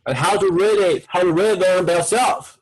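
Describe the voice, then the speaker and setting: A man's voice: high voice, normal speed, breathy edge, rough recording. A man with a Chinese accent, a native Chinese speaker teaching an online class and coaxing students with repetitive prompts in broken English.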